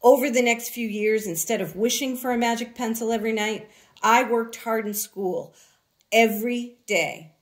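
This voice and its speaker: A woman reading a children's story aloud expressively, in a deliberate way with dramatic pauses. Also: a little high, fairly even intonation. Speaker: picture book reader.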